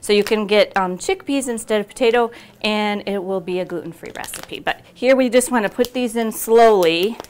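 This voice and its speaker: A woman's voice: mid-range voice, moderate pace, hardly any pauses, darkish tone, a little hiss or hum. A middle-aged woman hosting a cooking show in a home kitchen, chatting casually through each step with frequent ums and restarts.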